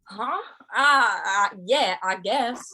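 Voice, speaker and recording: A laconic, reluctant cowboy of a western radio play , acted by a woman. The voice sits on the high side, and the delivery is folksy and hesitant, with stammered, drawn-out replies. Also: noisy background. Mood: uneasy. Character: laconic, easygoing male cowboy drifter, reluctant peacemaker. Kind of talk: old-time western radio drama acting and narration.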